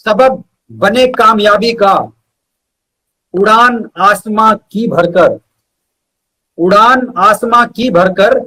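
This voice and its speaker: A man's voice: high-pitched, fairly bright tone, poor audio. A man, a poet being interviewed, speaking earnestly and reciting with emphatic repetition. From India.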